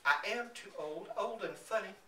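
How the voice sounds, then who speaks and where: Man as a childlike old woman, high-pitched. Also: normal speed, sharp tone. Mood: tearful, self-pitying. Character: childlike, tender elderly Southern woman, eccentric and wistful. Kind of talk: acted storybook reading. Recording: roomy, distant mic, faint background noise.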